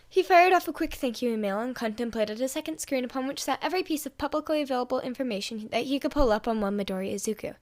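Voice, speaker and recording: High-voiced woman narrating a story. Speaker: fan fiction reader and podfic host.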